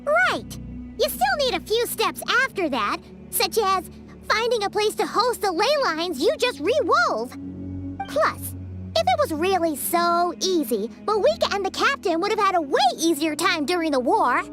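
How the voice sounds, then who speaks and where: A woman voicing a small, chirpy, childlike game companion who is curious and earnest, in a squeaky voice. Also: big pitch swings, normal speed, music in the background. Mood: eager. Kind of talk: video game voice acting.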